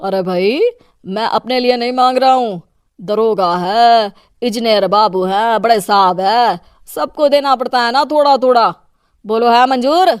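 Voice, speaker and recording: A domineering local politician husband acted by a woman, corrupt and full of himself. The voice is smooth, clean and closely recorded, pitched fairly high, in the manner of a cartoon moral tale. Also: unhurried, no echo, clean studio sound, close to an everyday voice. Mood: sly. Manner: bossy, self-important. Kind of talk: cartoon moral-story acting.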